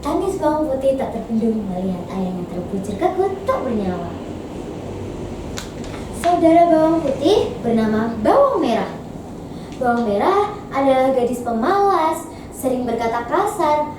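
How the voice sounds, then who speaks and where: A young woman narrates a folktale with great expression. Her voice is high and very animated. It is recorded from a distance in a very echoey, noisy space. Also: normal speed, pauses often. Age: teens. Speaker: student storyteller in a competition.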